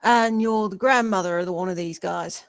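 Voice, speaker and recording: A woman playing a patient, bemused detective in a comedy table read, measured and a little hesitant. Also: lowish voice, clean tone, natural voice. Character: patient, bemused police detective questioning suspects.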